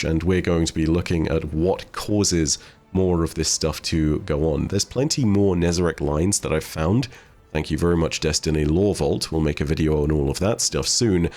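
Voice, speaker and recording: Man, low voice, video host, conversational narration to viewers, faint background music. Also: a little mellow. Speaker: host of a game lore video channel.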